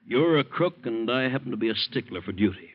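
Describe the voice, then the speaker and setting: An actor playing a stern, incorruptible middle-aged American district attorney in an old-time radio drama, with a firm, clipped, questioning manner. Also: male voice, mid-range voice, lively intonation, brisk, close to an everyday voice. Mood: resolute. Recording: dull, lo-fi old radio recording.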